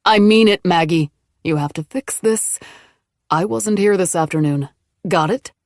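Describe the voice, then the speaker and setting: A woman's voice: lowish voice, unhurried, a little mellow, dry recording, clean studio sound. A woman reading an audiobook, voicing an angry, threatening older man who growls orders. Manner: growling, commanding.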